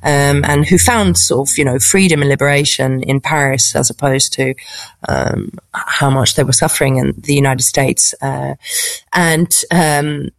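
A woman's voice: extremely low pitch, a little slow, no echo. A woman with a posh English RP accent answers interview questions conversationally, thinking aloud and searching for her words. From England. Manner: reflective, hesitant, searching for words. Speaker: interview guest, stand-up comedian.

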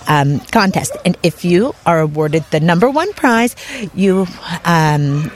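Woman, slightly low voice, chatty, laughs a lot, casual podcast chat. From lives in Paris. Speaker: podcast co-host.

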